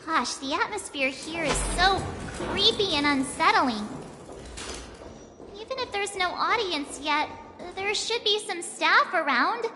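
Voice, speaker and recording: A woman's voice: very high-pitched, animated, a little slow, in a large hall, over music. A woman playing a perky, jumpy teenage girl adventurer in an anime game, chatty and exclamatory. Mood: startled, nervous. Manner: exclamatory, easily startled, chatty.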